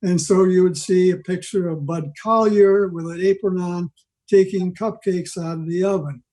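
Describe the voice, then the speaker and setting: An older man giving an informal history talk on a video call, rambling along with halting digressions. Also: high-pitched, steady tone, warm tone, poor audio. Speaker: club member giving a presentation. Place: video meeting from home.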